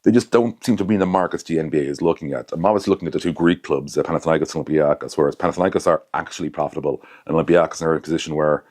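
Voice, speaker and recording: A man's voice: very deep voice, rapid-fire, few pauses, darkish tone. A young man hosting his own channel, giving casual basketball analysis to camera in a rambling way, often correcting himself and adding asides. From Ireland. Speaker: basketball commentator, channel host.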